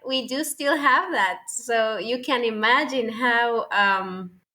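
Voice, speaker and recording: A young woman from Puerto Rico, a native Spanish speaker with a thick Puerto Rican accent, chatting as an interview guest and pausing often to search for words. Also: on the high side, sharp tone. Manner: hesitant, searching for words, frequent fillers. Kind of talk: casual interview conversation.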